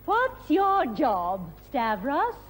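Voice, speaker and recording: A high, very expressive woman's voice that speaks slowly and over-clearly, like a patient teacher. She presents a television English lesson. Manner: slow, patient, very clear enunciation.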